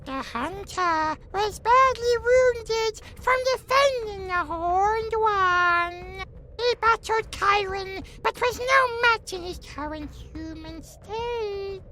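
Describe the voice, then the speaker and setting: A woman's voice: very high-pitched, slow, crowd noise. A woman playing a tiny, cheeky, boastful pixie lord in a fantasy audio drama, with haughty theatrical delivery. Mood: grave storytelling. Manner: haughty, theatrical, speaks of self grandly.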